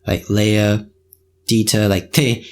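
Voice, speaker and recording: Dude hosting a chill podcast chat, lowish voice, slangy and a bit hesitant. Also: male voice, little pitch movement, slow. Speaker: podcast host, K-pop reaction video creator. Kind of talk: casual chat.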